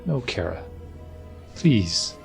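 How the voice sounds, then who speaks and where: A man's voice: fairly low pitch, sing-song, very slow, creaky, faint music behind, full character voice. An actor playing a weary, frightened husband and father in an audio drama, pleading and defensive. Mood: panicked, pleading.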